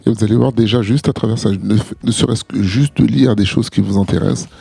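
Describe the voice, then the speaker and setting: A man co-hosting a podcast, giving measured advice with frequent hesitations and restarts. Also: on the low side, vocal fry, dark tone, breathy edge, dry recording. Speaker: podcast co-host. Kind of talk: casual podcast discussion.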